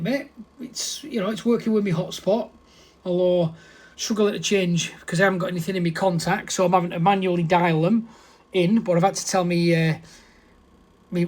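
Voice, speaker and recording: A man talking casually as an amateur radio operator, matter-of-fact and rambling, often correcting himself. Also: high-pitched.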